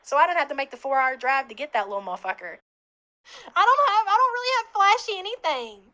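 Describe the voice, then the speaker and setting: A woman rants on her livestream, exasperated and swearing all the way through. Her voice is high and bright with a sharp edge. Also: expressive, fast talker. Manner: profane and exasperated. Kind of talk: livestream rant.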